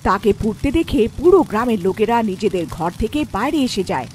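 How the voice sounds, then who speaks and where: A woman's voice: mid-range voice, very animated, quick pace, darkish tone, a little hiss or hum. A woman reading scripted fairy tale narration as a storyteller.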